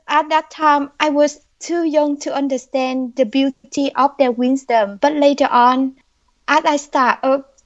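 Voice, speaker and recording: Young woman with a Vietnamese accent, high and slow, on dull lo-fi audio; podcast guest. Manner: slow, careful, earnest speech. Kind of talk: podcast interview answers.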